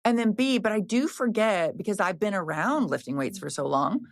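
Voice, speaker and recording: A woman's voice: medium pitch, expressive, moderate pace, gravelly, no room sound, studio quality. A woman in her fifties, a personal trainer, talking casually and frankly with many restarts and the odd swear. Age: 50s. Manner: chatty, rambling, frequent restarts and fillers. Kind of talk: casual podcast conversation.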